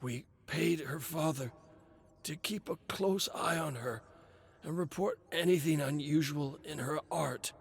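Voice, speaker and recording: Man playing guilt-ridden drugged agent, high strained pitch, halting stammer, frightened confession, audiobook drama. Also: creaky, breathy edge, full character voice. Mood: regretful. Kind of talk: dramatic audiobook character acting.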